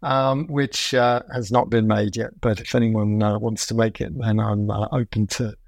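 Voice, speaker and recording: A man's voice: fairly low pitch, flat delivery, moderate pace, gravelly, dry recording, clean studio sound. A man hosting a podcast, talking in a chatty, digressive way with fillers and restarts. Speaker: podcast host. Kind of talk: casual podcast interview.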